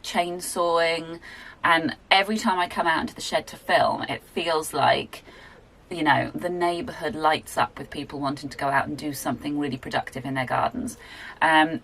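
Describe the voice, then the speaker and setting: A woman's voice: sits low, fairly bright tone, low-quality recording. A woman, chatting casually and self-deprecatingly in a book vlog recorded in a garden shed. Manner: rambling, self-deprecating, with fillers and laughs. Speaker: book vlogger. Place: garden shed, rural area.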